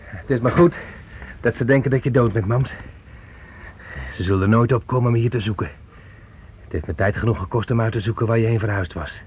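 A man's voice: on the low side, fairly quick, pauses often, darkish tone, slight background noise, natural voice. An actor in a Dutch radio play playing a menacing, needy fugitive who resents his mother, brooding and needling. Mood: menacing, taunting. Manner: brooding, needling, childishly aggrieved. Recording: muffled old radio play recording.

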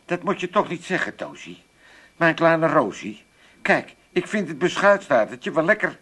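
A cheeky, greedy old Amsterdam father in a radio comedy. His voice is high for a man and swoops up and down as he mutters in broad slang. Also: big pitch swings, unhurried, a bit bright, husky, full character voice. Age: older. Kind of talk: 1980s radio comedy acting.

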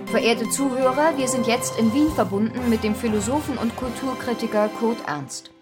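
A woman acting as a radio news presenter with a fairly high voice, formal and earnest yet a little flustered. She speaks in live disaster broadcast style for a radio play, with music underneath. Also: lightly acted. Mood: composed, serious. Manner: formal, hesitant with halting filler sounds.

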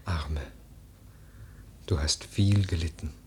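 Admonishing aristocratic cousin, deep-set husky voice, grave declamation, earnest, literary radio play. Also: male voice, takes it slowly, dark tone, heavily acted. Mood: gentle, pitying. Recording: studio radio drama recording.

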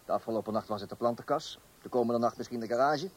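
An actor playing a fussy, self-assured middle-aged husband in a Dutch radio play, with a Netherlands accent and hesitant, repetitive phrasing. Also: male voice, medium pitch, quick pace, husky, lightly acted. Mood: aggrieved. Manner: self-assured, hesitant little ehs and repeated words. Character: fussy, indignant middle-class husband guarding his property.

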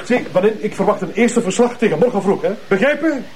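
A man's voice: sits high, expressive, quick pace, darkish tone, a little hiss or hum. A man with a Flemish accent playing a bossy, self-important police commissioner in a radio drama, hectoring and pompous. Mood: bossy, impatient.

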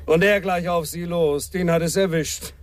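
An actor playing an eager, cheeky taxi driver in a German radio drama, making clipped comments. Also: male voice, fairly high pitch, steady tone, normal speed, close to an everyday voice. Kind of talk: German radio play acting.

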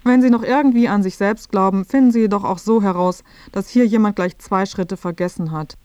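A woman plays a female radio presenter narrating a satirical report. Her voice sits at a middle pitch. The delivery is scripted, dry and matter-of-fact, in a very dry studio sound. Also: brisk, mellow, dry recording, lightly acted.

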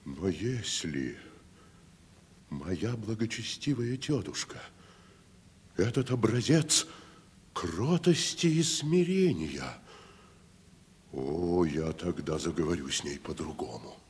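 An actor in a 1970s Russian radio play playing a proud, wounded tragedian, declaiming theatrically with gravelly voice and dramatic pauses. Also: male voice, deep voice, animated, slight background noise. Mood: bitter irony.

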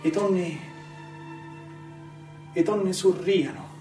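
An actor playing an entranced storyteller in a poetic theatrical monologue, lyrical and full of incantatory repetition. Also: male voice, high voice, steady tone, slow pace. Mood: solemn. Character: rapt storyteller visitor, dreamily entranced by swimming tuna. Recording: echoey room, distant mic, music behind. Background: clear music.

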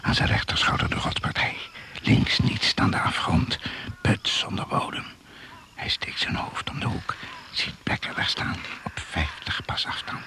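A man narrating a radio drama in a gravelly, hushed, deliberate and suspenseful manner. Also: whispered. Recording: noisy old radio play recording.

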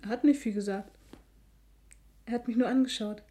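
An actress playing a dreamy, defiant sixteen-year-old daughter who answers tersely and evasively in a radio play. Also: female voice, a little high, steady tone, moderate pace, mellow, close to an everyday voice. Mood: evasive, defensive.

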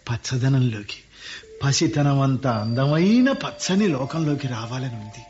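Higher-pitched gentle, wistful ageing businessman. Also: slight background noise. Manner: measured, earnest, poetic. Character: successful ageing businessman, gentle, wistful, longing for village home. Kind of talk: radio play acting. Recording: dull lo-fi radio recording.

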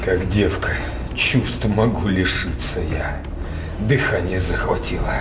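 An actor playing a blunt, loyal mercenary knight in a theatrical radio drama, declaiming with soldierly emphasis. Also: male voice, low-pitched, takes it slowly, music in the background. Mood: awed, eager. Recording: roomy stage sound with noisy background.

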